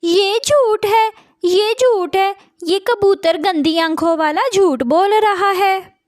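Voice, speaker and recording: A woman's voice: very high-pitched, takes it slowly, dry recording, clean studio sound, voice pushed up. A woman voicing a vain, boastful female crow who gushes with false kindness in a cartoon story. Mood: snappy, defensive.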